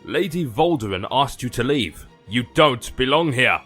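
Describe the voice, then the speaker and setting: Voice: mid-range voice, animated, measured pace, a bit bright, slightly rough, faint music behind. A performer voicing a stern, curt male vampire duelist, a menacing henchman in a fantasy audio drama. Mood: threatening.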